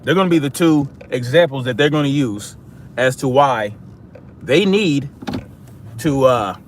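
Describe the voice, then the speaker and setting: A man's voice: medium pitch, lively intonation, a little slow, slight background noise. An American man giving casual political commentary as a livestream host, emphatic and repeating phrases for stress.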